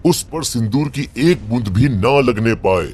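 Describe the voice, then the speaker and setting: A man's voice: medium pitch, lively intonation, a little slow, a little mellow, breathy edge. A man playing a grave old village priest who speaks with solemn foreboding in a horror story. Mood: stern warning. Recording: background music under the voice.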